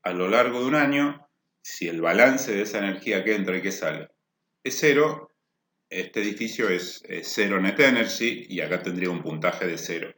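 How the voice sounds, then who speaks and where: A man's voice: low voice, lively intonation, normal speed, fairly bright tone. Lecturing man in an echoey room. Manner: explanatory, rephrases points with "o sea" and "¿no?". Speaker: energy efficiency lecturer. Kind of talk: technical workshop lecture.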